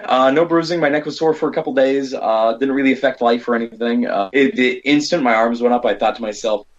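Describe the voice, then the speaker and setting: A man's voice: medium pitch, fast, lo-fi sound. An American man telling rambling anecdotes as an interview guest, casually quoting the people in his stories. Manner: rambling, animated retelling with quoted dialogue. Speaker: guest, indie horror filmmaker and stunt performer.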